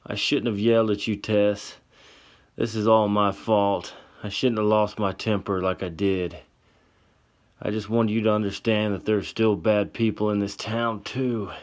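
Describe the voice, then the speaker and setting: A man voicing a protective, hot-tempered young man in a told story, speaking forcefully. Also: lowish voice, level tone, measured pace, lightly acted. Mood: remorseful. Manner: forceful, yelling.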